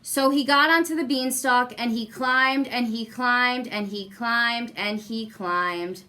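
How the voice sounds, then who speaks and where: Slow, bright-voiced woman reading a storybook. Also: fairly high pitch. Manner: deliberate repetition and emphasis for young listeners. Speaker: guest story reader. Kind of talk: expressive children's storybook reading.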